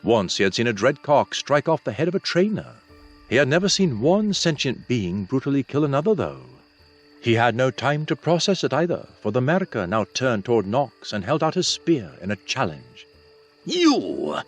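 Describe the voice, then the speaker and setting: Guy narrating a fantasy audiobook, very expressive up and down pitch, voice a little husky, faint music. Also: moderate pace. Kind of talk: scripted fantasy novel narration.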